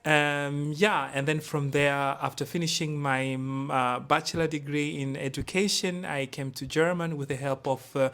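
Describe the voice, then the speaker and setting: Young man from tanzania, guest on a podcast, fairly high voice, lots of ums and restarts. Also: steady tone, fairly bright tone. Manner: halting, many fillers and restarts. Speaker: guest scholar. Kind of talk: podcast interview.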